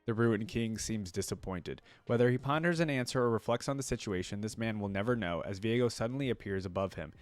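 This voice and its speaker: A man with a fairly low voice reads lore aloud as host of a reaction video. He shifts between narration and casual commentary. The sound is dry, with hardly any room in it. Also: fairly quick, few pauses, no room sound.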